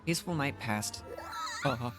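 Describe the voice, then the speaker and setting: A woman's voice: a bass voice, wildly swooping pitch, normal speed, loud music behind the voice. A woman giving casual gameplay commentary, muttering to herself as she streams. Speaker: video game streamer.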